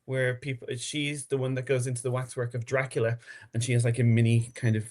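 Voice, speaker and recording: A man's voice: medium pitch, level tone, a little fast, darkish tone. A man speaking as a podcast guest in casual, conversational explanation. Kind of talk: casual chat.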